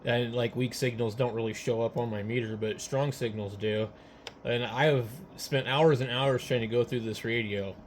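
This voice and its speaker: A man with a mid-pitched voice talks shop in a casual, halting way, like an amateur radio operator thinking aloud. A clear layer of noise sits behind his speech. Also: fairly even intonation. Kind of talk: casual technical chat.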